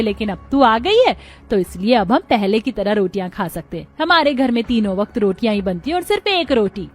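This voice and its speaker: A woman's voice: a little high, close to an everyday voice. A woman playing a bossy, demanding middle-aged mother-in-law in a cartoon.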